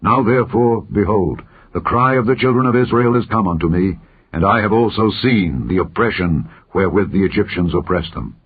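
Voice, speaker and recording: Majestic male deity read by a man for scripture audiobook: authoritative, declamatory, deep, tinny narrow-band recording. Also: brisk, slightly rough. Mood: solemn compassion.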